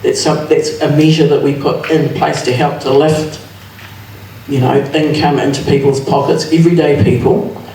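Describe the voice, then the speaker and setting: Higher-voiced New man, sincere. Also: fairly even intonation, moderate pace, darkish tone, a little hiss or hum. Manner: personal, sincere. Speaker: election candidate. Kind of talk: public candidate forum answer. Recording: echoey hall from far off.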